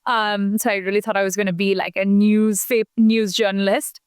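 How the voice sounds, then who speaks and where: Brief: young woman from India, outgoing podcast guest, smooth clear voice, dry close sound. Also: mid-range voice, flat delivery, moderate pace, no echo. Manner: chatty, many fillers, outgoing. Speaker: podcast guest, founder and matchmaker. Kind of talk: casual interview talk.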